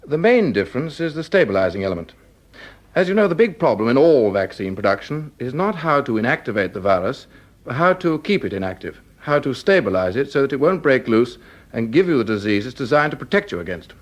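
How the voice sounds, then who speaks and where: A man's voice: mid-range voice, sing-song, a little fast. An actor playing an obliging, earnest scientist in a radio drama, speaking politely and precisely as he explains things. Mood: obliging, explanatory.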